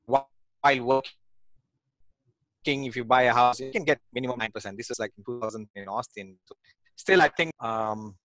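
A man's voice: medium pitch, moderate pace, long pauses, a bit bright, dry recording, poor audio. A man in his forties from Malaysia, talking casually as an interview guest over a video call from a home office, with many fillers and restarts. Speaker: guest, real estate investor. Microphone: headset on video call.

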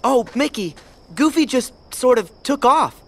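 A man's voice: very high voice, expressive, measured pace, noisy background, heavily acted. An actor voicing an upbeat, loyal teenage boy hero in an earnest youthful voice.

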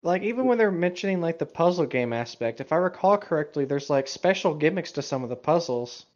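A man's voice: on the high side, expressive, a little fast. A man banters casually as a co-host, mocking and profane. He jokes in a loose, conversational way. The sound is a little dull, like a modest home recording. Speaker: co-host reading and mocking internet horror fiction.

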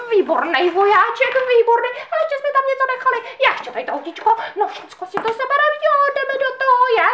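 A woman voicing a band of greedy, mischievous little male devils who grab toys in excited, gleeful chatter. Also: extremely high pitch. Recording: echoey room.

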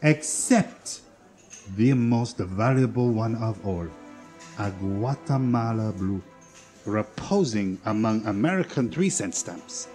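A theatrical Belgian detective with a French accent. He speaks slowly and precisely, swinging widely in pitch, courteous and utterly self-assured. It is 1940s radio drama, with some music in the recording. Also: lively intonation, darkish tone. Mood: self-satisfied, explaining. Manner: precise, theatrical, with rhetorical tags.

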